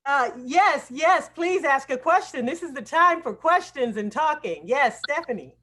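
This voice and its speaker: High-voiced woman hosting an online class. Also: expressive, unhurried, a bit bright. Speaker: acting teacher hosting an online class showcase. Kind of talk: casual video-call discussion. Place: video call.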